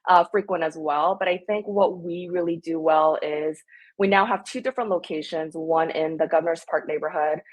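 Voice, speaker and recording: An enthusiastic woman in her thirties, originally from Korea, chatting as a guest on a local podcast. Her voice sits a little low for a woman, and she sounds upbeat and eager as she talks through her answers. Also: normal speed. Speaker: restaurant owner guest. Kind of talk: casual podcast interview.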